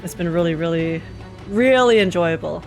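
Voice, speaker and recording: A young woman streaming a game, reacting casually and excitedly with giggly, slangy enthusiasm. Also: fairly low pitch, moderate pace, faint music behind.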